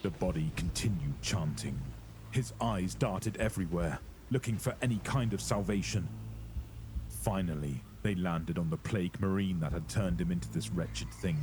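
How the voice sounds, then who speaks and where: Very deep-voiced, grave male horror narrator. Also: moderate pace, darkish tone, slight background noise. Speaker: narrator of horror audio drama. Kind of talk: dramatic scripted storytelling.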